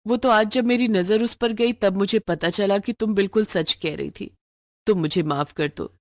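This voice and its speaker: A woman voicing a well-off working female employer in a moral storybook tale, brisk, commanding and demanding. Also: medium pitch, no echo, clean studio sound, lightly acted. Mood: apologetic.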